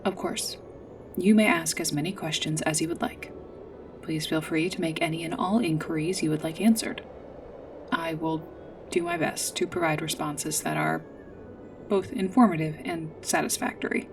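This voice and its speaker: Low-voiced, formal female android guide, acted by a woman. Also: expressive, vocal fry, far from the mic. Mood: formal, helpful. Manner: formal, precise, measured, literal-minded. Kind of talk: scripted sci-fi ASMR roleplay. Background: music.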